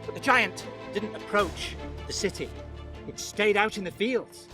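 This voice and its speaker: A man narrating a folktale as a storyteller, theatrical and emphatic, with suspenseful pauses. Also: sits high, music in the background.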